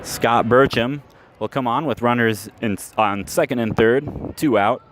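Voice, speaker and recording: A man's voice: mid-range voice, a little hiss or hum. Man calling baseball play-by-play. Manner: measured, rises sharply on big plays. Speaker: play-by-play announcer. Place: ballpark, outdoors.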